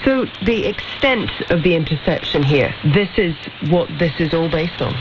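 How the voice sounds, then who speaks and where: A woman's voice: fairly low pitch, lively intonation, normal speed, lo-fi sound. Woman newsreader on noisy shortwave radio. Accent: New Zealand. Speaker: radio news presenter. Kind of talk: news reading and interviewing. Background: loud radio static.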